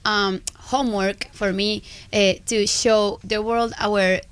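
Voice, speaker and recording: A woman's voice: mid-range voice, level tone, slow pace, clean tone, no room sound. A Colombian woman from Medellín, a native Spanish speaker, chatting as a guest in a radio interview with a strong accent, often searching for words. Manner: searching for words, many fillers and restarts. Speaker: guest singer.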